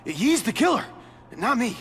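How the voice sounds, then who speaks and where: Stammering, nervous bystander. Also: male voice, very high-pitched, big pitch swings, unhurried, a bit bright, a little hiss or hum, full character voice. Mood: anxious. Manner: anxious, stammering, pleading. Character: nervous male bystander wrongly accused of murder. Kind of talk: video game cutscene acting.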